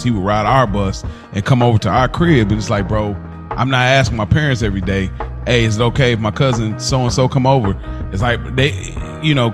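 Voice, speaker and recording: Medium-pitched man chatting over music. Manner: straightforward, slangy, halting storytelling. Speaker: podcast co-host. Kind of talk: casual podcast chat. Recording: music playing behind the voice.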